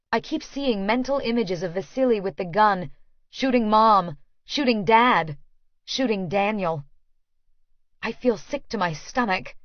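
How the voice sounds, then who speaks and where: Woman, audiobook narration, unhurried and measured, dramatic first-person fiction, dry close sound. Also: mid-range voice, no echo, lo-fi sound.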